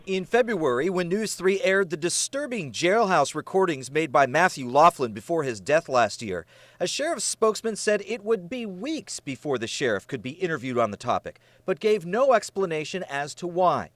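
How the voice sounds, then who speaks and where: American male investigative reporter, scripted and emphatic, high-pitched voice, dry close recording. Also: very animated, moderate pace, a bit bright, dry recording. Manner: serious, emphatic broadcast delivery. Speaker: TV news reporter. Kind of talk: scripted investigative news narration.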